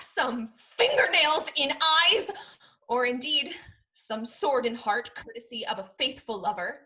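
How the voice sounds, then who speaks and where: A spirited young lady of period stage comedy, acted by a woman. Her voice is high and swoops widely as she teases, with arch emphasis and an unhurried pace. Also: sharp tone, full character voice, low-quality recording. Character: spirited, teasing young gentlewoman in Restoration comedy. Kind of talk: theatrical period comedy acting.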